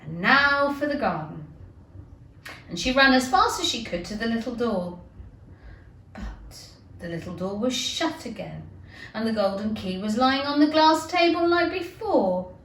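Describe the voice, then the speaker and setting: Slow, animated Englishwoman reading a story. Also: a little high. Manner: dramatic, deliberate phrasing. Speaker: teacher reading aloud to children. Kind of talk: expressive children's storybook narration. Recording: echoey room, distant mic, hiss.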